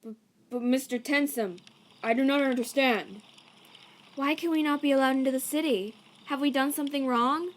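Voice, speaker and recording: A woman voicing a boastful but tender-hearted, naive skeleton with grand, formal phrasing in an animated comic dub. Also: sits high, moderate pace, slight background noise, voice pushed up, close to an everyday voice. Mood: pleading, dismayed. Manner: grandiose, formal wording without contractions.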